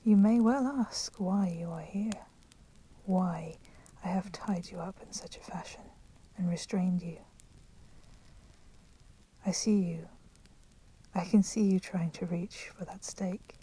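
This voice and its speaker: Girl doing a vampire lady for asmr roleplay, soft breathy whispers, really slow, super polite but kinda menacing. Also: fairly low pitch, heavily acted, poor audio. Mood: taunting, knowing. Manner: slow, deliberate, formally courteous. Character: polite, menacing female vampire, proud and merciful.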